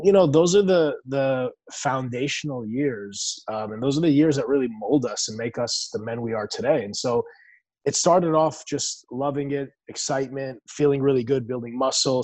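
A man's voice: medium pitch, darkish tone, no echo. A man in his forties, born in Iran and raised in the U.S., telling his life story as an interview guest in an earnest, reflective way. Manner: earnest, reflective, many restarts. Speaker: guest, bodybuilder.

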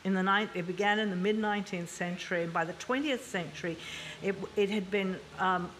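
Woman, frank panelist, middle pitch, slight background hiss. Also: a little fast, fairly bright tone. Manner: frank, thoughtful, many fillers and restarts. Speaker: panel guest. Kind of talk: panel discussion.

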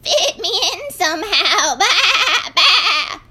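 Storybook sheep, played by a woman: cheerful, pushy, high bleating sing-song delivery. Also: very animated, takes it slowly, heavy background noise, heavily acted. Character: cheerful woolly singing sheep, pushy and frank.

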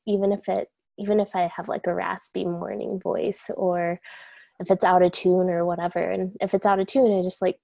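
A woman's voice: lowish voice, vocal fry, dry recording. A young woman speaking casually and reflectively as an interview guest, laid-back with many fillers. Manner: soft-spoken, laid-back, many likes and you-knows.

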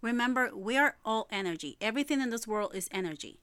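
Woman from puerto rico, matter-of-fact psychic on a podcast, smooth clear voice. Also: on the high side, lively intonation, fast, fairly bright tone, dry recording. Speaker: guest psychic medium. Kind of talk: casual podcast chat.

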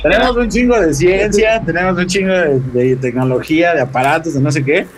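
A Mexican man talks as a guest on a casual podcast. His voice sits a little higher than most men's. He tells things in an animated, slangy way and swears freely. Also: a little fast, slight background noise, rough recording.

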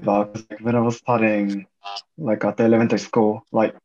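A man's voice: fairly low pitch, flat delivery, normal speed, highs cut off, music in the background. A young man from Japan, an English speaker, chatting casually with many fillers. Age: young adult. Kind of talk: casual chat.

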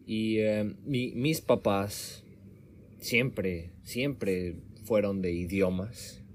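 A man with a fairly low voice talks in a relaxed, conversational way, often correcting himself and restarting his sentences. Faint background noise sits under his voice. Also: extremely slow. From Mexico. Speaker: interviewer. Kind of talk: casual conversation. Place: home room in Sweden.

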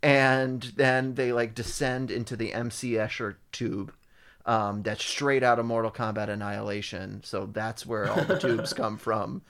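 A man's voice: fairly low pitch, little pitch movement, unhurried, fairly bright tone. A man in casual chat, sarcastically recapping a movie's plot with frequent swearing.

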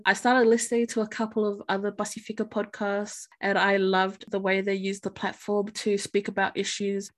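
A woman in her twenties talks through a solo podcast monologue with a stuffy, congested nose. Her delivery is chatty and a little self-conscious, and she laughs easily as she goes. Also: medium pitch. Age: 20s. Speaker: podcast host.

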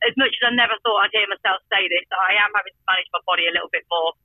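Fast-talking woman on the phone. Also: mid-range voice, dry recording. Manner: chatty, self-deprecating. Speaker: amateur football team captain being interviewed. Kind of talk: casual phone interview. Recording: tinny telephone line.

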